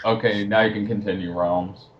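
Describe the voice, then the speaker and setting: Low-voiced man on dull lo-fi audio. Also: fairly even intonation, moderate pace, a little hiss or hum. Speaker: co-commentator. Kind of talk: casual group commentary, swearing.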